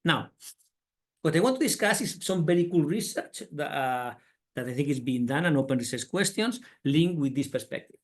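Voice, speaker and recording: A man's voice: a little high, animated, normal speed. A middle-aged man with a Spanish accent giving a webinar lecture as a business school professor, peppering his talk with "right?" and calling things very cool. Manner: tag questions and "right?" fillers, enthusiastic. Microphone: computer mic on a video call.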